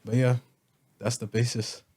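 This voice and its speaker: A man's voice: mid-range voice, level tone, measured pace, darkish tone, slightly rough. A young man in his twenties from South Africa, chatting loosely on a podcast with dry one-liners. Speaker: podcast co-host. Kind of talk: casual chat.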